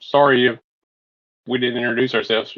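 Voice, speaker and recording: A man's voice: mid-range voice, level tone, moderate pace, dry recording. A man playing a tabletop game, casually describing and voicing what his character does, on slightly dull audio.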